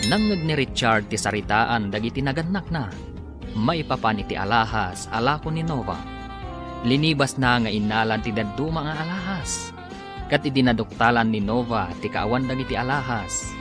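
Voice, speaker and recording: A man's voice: mid-range voice, expressive, moderate pace. A man with an Ilocano Filipino accent delivering scripted dramatic narration as a radio drama announcer. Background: music.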